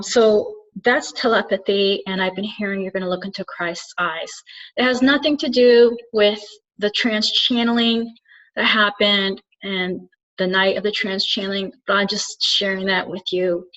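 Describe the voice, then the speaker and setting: Woman from Turkey, mid pitch, earnest and halting solo talk, dullish lo-fi sound. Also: a bit bright. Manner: halting, searching for words, frequent restarts. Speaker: spiritual channeler and soul coach. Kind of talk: reflective solo monologue to camera. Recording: slightly dull lo-fi recording.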